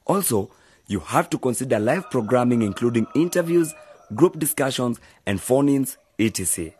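A man playing a sensible, patient older brother figure who gives measured, explanatory advice in a radio drama, with a husky voice. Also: mid-range voice, lively intonation, darkish tone. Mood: patient, instructive.

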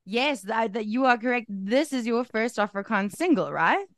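A woman's voice: on the high side, lively intonation, moderate pace, a crowd in the background. A woman hosting a radio interview, upbeat and playfully teasing her guest. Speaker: radio host.

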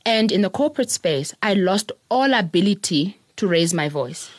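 A woman's voice: mid-range voice, lively intonation, normal speed, dry recording. A South African woman telling her personal story as a podcast guest, thoughtful, often laughing and repeating words as she thinks.

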